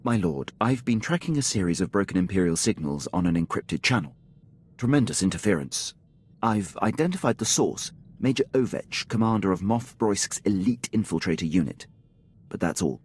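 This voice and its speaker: An actor playing a loyal, deferential Imperial military officer in a video game, reporting in a crisp, formal manner. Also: male voice, low voice, expressive, moderate pace, slight background noise. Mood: dutiful, urgent. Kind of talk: scripted video game acting.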